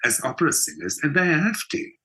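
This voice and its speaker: A man's voice: fairly high pitch, wildly swooping pitch, a little fast, bright, forceful tone, slightly rough. A South African man speaking emphatically as a conversational interview guest, repeating phrases for stress. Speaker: interview guest, theatre performer and mentor.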